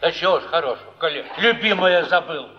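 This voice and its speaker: A man's voice: a little high, fairly quick, lightly acted. An actor playing a kindly old male wanderer, soothing and folksy, in theatrical stage style. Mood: encouraging. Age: older. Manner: soothing, folksy, sing-song coaxing. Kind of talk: mid-century theatrical stage acting. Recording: muffled old theatre recording, reverberant hall.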